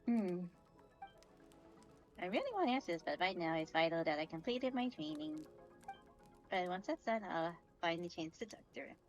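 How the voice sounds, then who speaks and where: A woman's voice: fairly low pitch, sing-song, moderate pace, gravelly, long pauses, dull audio. A woman streaming a game, casually commenting and reading along. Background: game music.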